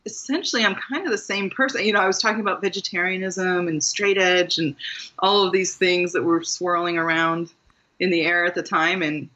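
Woman guest author, lively storyteller, bright tone, muffled lo-fi recording. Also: on the low side, a little fast. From Maryland, USA. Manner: animated storytelling, laughs often. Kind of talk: conversational interview. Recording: muffled lo-fi sound.

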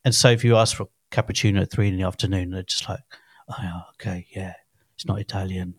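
Chatty low-voiced man in his fifties. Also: steady tone, fast talker, slightly rough, no room sound, studio quality. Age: 50s. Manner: rambling, chatty, restarts often. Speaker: podcast co-host. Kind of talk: casual chat.

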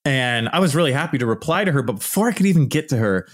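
A man with a naturally loud voice that carries a shouting edge, talking straight to his viewers. He rants in an animated, exasperated way and breaks into laughter now and then. His pitch sits in an ordinary middle range. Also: quick pace. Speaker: archaeology YouTuber. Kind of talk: casual vlog commentary.

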